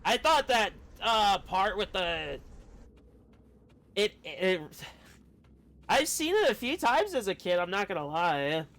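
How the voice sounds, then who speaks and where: A man chatting casually on a game livestream, rambling and halting as he talks. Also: sits high, big pitch swings, a bit bright. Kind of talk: casual chat while streaming a game. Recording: game music behind the voice. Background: video game music.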